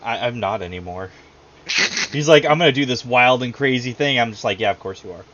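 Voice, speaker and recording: A man hosting a tabletop game stream as game master, losing his voice, running the questions briskly. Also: medium pitch, muffled recording, noisy background. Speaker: game master and show host. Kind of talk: casual post-game chat.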